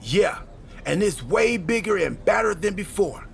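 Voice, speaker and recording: A man playing an excitable, goofy time-travelling wrestler in a video game cutscene, wisecracking through dramatic exposition. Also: high voice, unhurried, vocal fry, exaggerated voice. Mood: dramatic, ominous.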